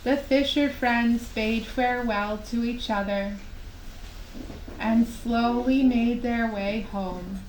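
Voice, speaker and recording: A woman narrates a children's tale at a very slow, careful pace, pausing gently between phrases. Her voice sits a little high. It echoes around the room, with some background noise. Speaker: puppet show storyteller. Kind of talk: children's storytelling, scripted narration. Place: indoor room at a live puppet show. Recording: roomy echo, clear background noise.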